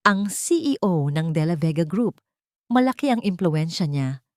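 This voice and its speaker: A woman's voice: on the low side, expressive, moderate pace, darkish tone, no echo, natural voice. Woman acting a greedy older matriarch, commanding, insistent, scheming, storybook drama, dry sound. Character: scheming, greedy, domineering older mother-in-law. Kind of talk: audio storybook drama dialogue.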